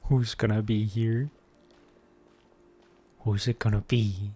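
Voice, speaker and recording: A man's voice: fairly low pitch, flat delivery, slow, dark tone, breathy edge, faint music behind. A man streaming a game, reacting in a laid-back, drawling way and repeating catchphrases.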